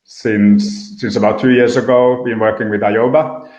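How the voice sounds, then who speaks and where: A middle-aged man speaking as a panelist, measured with a touch of dry humor. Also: lowish voice, steady tone, a little mellow. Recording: roomy, dull sound.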